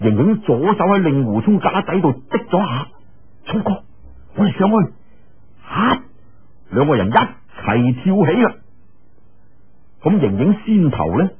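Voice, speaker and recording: A man's voice: medium pitch, slightly rough, narrow, tinny audio. A man with a Cantonese accent telling a martial-arts story in a dramatic, colloquial audiobook style, full of exclamations.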